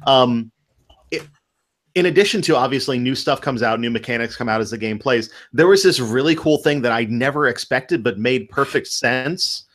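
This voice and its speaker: A man's voice: mid-range voice, normal speed, a bit bright. A man in his thirties eagerly explaining how a card game works in a casual video-call chat, laughing easily. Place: home room. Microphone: headphones with studio mic on a video call.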